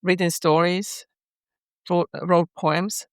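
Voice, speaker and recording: A middle-aged woman from Finland with a Finnish accent, a guest poet talking in an unhurried way with pauses as she searches for words. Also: lowish voice, little pitch movement, smooth, dry recording, studio quality. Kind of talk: podcast interview.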